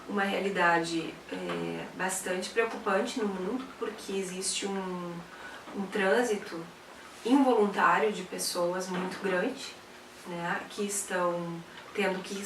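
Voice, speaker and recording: Casting: woman expert, measured academic tone, recorded in an echoey room away from the mic. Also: mid-range voice, a bit bright, slight background noise. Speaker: expert interviewee. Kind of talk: explanatory interview. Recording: roomy, slightly distant mic.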